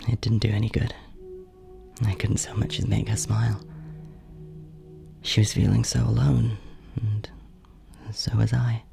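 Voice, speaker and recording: A man acting a gravelly, bitter, confessional brother. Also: on the low side, monotone, music in the background. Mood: bitter, melancholy. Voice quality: gravelly, creaky. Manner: hesitant, confessional, halting, drops toward whispers. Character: jealous, guilt-ridden older brother confessing dark resentment. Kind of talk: horror audio drama monologue.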